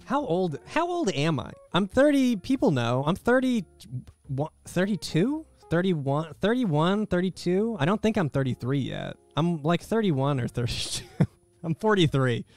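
A man's voice: high-pitched, very animated, a little slow. A man in his thirties chatting casually and jokingly with live viewers as he streams a video game from a room at home. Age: 30s. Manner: wry, joking. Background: faint guitar music.

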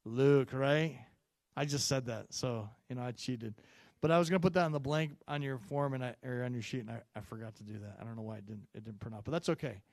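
A man in his forties preaching a Sunday sermon on a handheld microphone, chatty and full of fillers, rising loud on key points and dropping low and soft in prayer. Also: steady tone, fast talker, no echo. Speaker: pastor. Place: church stage, medium-sized room. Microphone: handheld mic.

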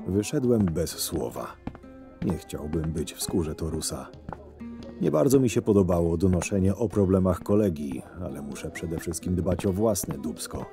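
A man playing a cynical, scheming camp henchman in an audio drama, narrating his days in a dry, measured, sardonic way. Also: sits low, lively intonation. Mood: dry, brooding. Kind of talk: first-person scripted narration. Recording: music under the voice. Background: background music.

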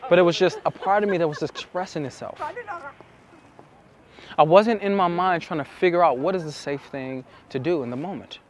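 A young man explaining to camera as a dating coach, with emphatic, deliberate phrasing and pauses, recorded outside on a city street. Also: a little high. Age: young adult. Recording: outdoor city street with traffic noise.

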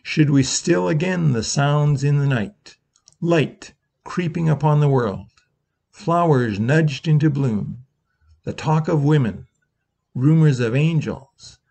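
A man in his sixties, a minister, reading a slow, reflective Easter meditation from his living room over a webcam, with long pauses. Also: on the high side, vocal fry, darkish tone.

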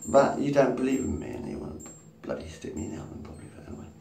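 Rambling gravelly man in his fifties. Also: mid-range voice, lively intonation, racing, warm tone, far from the mic, soft background music. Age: 50s. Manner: rambling, swearing, laughing at his own jokes. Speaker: vlogger. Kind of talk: rambling casual monologue to camera. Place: small room indoors. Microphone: phone camera at arm's length. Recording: roomy echo, from the mic, faint background noise.

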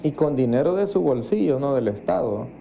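A man's voice: medium pitch, lively intonation, brisk, vocal fry. A middle-aged Salvadoran man hosting a live political phone-in, chipping in with casual comments. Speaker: TV host taking a call. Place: TV studio, indoors. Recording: dull muffled sound, faint noise.

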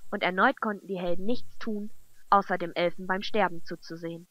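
A woman's voice: lowish voice, fairly even intonation, fairly quick, bright, forceful tone, low-quality recording. A woman reading scripted narration for a fantasy audio drama. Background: background music.

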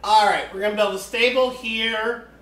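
A man's voice: high-pitched, fairly even intonation, normal speed, fairly bright tone. An American man casually rambling through commentary on his strategy game, chatty and wandering off topic. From United States. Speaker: let's play host. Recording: echoey room.

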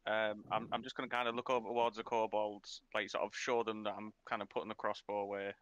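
A man's voice: lowish voice, level tone, fast talker, a bit bright, no room sound. A man casually talking through his character's action in a tabletop game.

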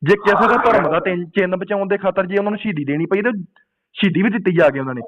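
A dull, lo-fi call recording carries a man. He speaks high and quickly, pressing religious arguments like a preacher and driving them home with insistent rhetorical questions. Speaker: Sikh preacher taking a supporter's phone call.

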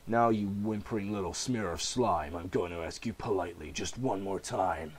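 A man's voice: a little hiss or hum, natural voice. A man voicing a cold, threatening male vigilante in a comic book reading. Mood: cold menace. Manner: low, slow, threatening. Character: menacing, softly threatening male vigilante interrogator.

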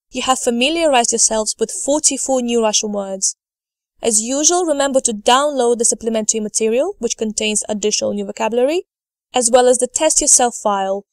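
A woman's voice: on the high side, no echo, clean studio sound. A woman teacher narrating a scripted lesson, enunciating carefully in an instructive, encouraging manner. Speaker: language teacher.

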